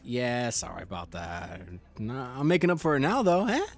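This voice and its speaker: A man's voice: mid-range voice, huge pitch swings, moderate pace. A man playing a wisecracking, easygoing city gang member who teases old friends in a casual audio drama. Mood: teasing. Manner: teasing, casual banter.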